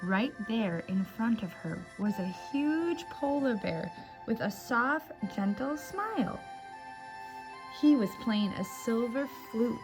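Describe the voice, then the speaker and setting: A woman telling stories to young children as a library storyteller, with simple, encouraging address and an animated picture-book reading style. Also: fairly high pitch, slow, music in the background, poor audio.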